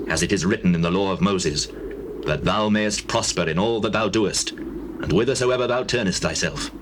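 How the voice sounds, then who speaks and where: An actor playing a dying old king, giving grave, deliberate counsel in a dramatized scripture reading. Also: deep voice, natural voice. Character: dying old king David, solemnly charging his son. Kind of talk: dramatized scripture, classical stage acting. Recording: noisy, hissy old cassette tape.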